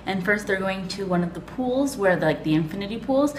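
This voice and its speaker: A woman's voice: medium pitch, animated, fairly quick, slight background noise. A young woman from Canada vlogging on holiday, talking casually and rambling with many fillers, recorded in a hotel room on a handheld camera. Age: young adult. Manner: rambling, upbeat, lots of "like" and "um". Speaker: travel vlogger. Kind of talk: casual chatty vlog. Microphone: phone camera held at arm's length.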